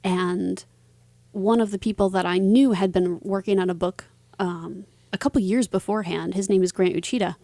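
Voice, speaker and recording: A woman's voice: mid-range voice, vocal fry, dark tone, no room sound. A woman speaking as an interview guest, answering in a casual, chatty, conversational way. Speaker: interview guest, author and publisher.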